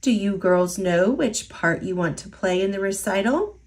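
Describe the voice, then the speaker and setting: A woman voicing an encouraging female ballet teacher in a children's storybook, speaking gently. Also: medium pitch, moderate pace, lightly acted.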